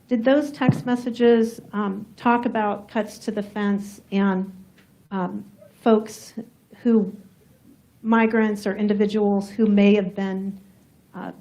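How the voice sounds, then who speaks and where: Woman prosecutor, soft voice, warm mellow tone, mid pitch, formal step-by-step questions, courtroom. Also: steady tone, low-quality recording. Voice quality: soft-spoken. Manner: measured, formal, methodical questions with some fillers.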